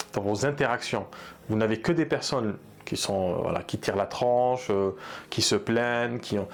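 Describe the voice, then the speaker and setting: A man coaching therapy practitioners in an unscripted talk, speaking earnestly and hesitantly with many fillers and restarts. He also speaks Arabic. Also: medium pitch. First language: bilingual in Arabic. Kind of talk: unscripted advice talk to camera.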